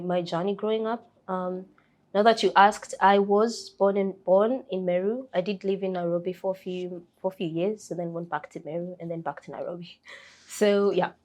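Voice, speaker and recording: A young woman from Kenya with a Kenyan accent talks through her story in a relaxed interview. She speaks softly and hesitantly, with many fillers and restarts. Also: on the low side. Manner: soft-spoken, frequent fillers and restarts. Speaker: interview guest.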